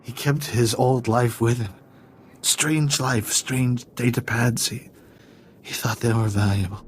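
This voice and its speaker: A man playing a drugged, confused captive in a video game, halting and stammering in a helpless daze. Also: mid-range voice, steady tone, a little hiss or hum, natural voice. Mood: dreamy, rambling.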